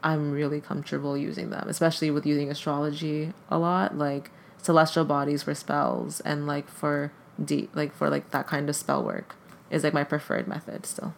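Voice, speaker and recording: A podcast guest whose first language is Tagalog, with a gravelly, creaky edge to a mid-pitched voice. They talk casually and haltingly, with "like" dropped into almost every phrase. A faint hiss of noise sits under the recording. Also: flat delivery. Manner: hesitant, heavy use of "like" fillers. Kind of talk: casual conversation.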